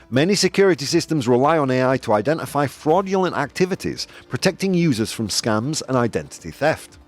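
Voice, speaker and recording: A man hosts a casual opinion show and talks in a rambling, self-correcting way with plenty of fillers. His pitch swings widely as he gets worked up. Faint music plays underneath. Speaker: podcast host. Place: Spain.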